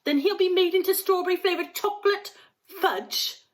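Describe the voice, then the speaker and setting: A woman's voice: very high-pitched, normal speed, voice pushed up. A woman voicing a frantic, bossy, outraged mother in a storybook, scolding and exclaiming shrilly.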